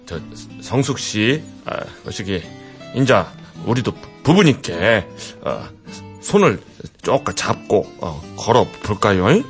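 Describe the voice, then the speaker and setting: A man's voice: lowish voice, very animated, slow pace, soft background music, voice pushed down, exaggerated voice. A man playing an eager, shy new bridegroom in a radio skit, with a broad Jeolla dialect and a hesitant, stammering, folksy manner. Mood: bashful, excited. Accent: exaggerated southwestern Korean (Jeolla) dialect.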